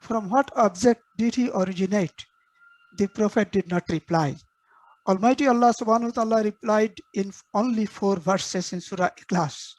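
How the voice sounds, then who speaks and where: A woman's voice: medium pitch, normal speed, darkish tone, rough recording. A woman hosting an online meeting, formally calling on attendees by name and introducing the speaker. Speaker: meeting host. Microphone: video call.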